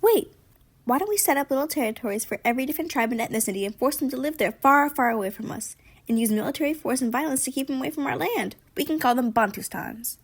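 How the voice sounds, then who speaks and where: A woman's voice: sits high, expressive, fast, breathy edge, dry recording, full character voice. A woman voicing a smug, gleefully cruel colonizer puppet with theatrical delivery in an amateur puppet show. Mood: scheming, gleeful.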